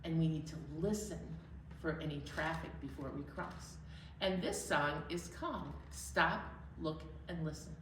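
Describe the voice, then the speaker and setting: A woman's voice: sits low, animated, measured pace, a bit bright, a little hiss or hum. A woman hosting a children's library storytime, speaking in simple, encouraging phrases for young children and leading sing-alongs. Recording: roomy, distant mic, music.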